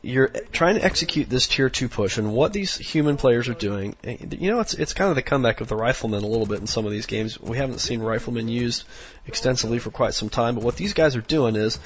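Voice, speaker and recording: A hoarse, sniffly man with a raspy voice, rambling through casual strategy commentary with lots of fillers. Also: medium pitch, expressive, brisk, muffled recording. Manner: rambling, many fillers, instructional. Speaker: game commentator.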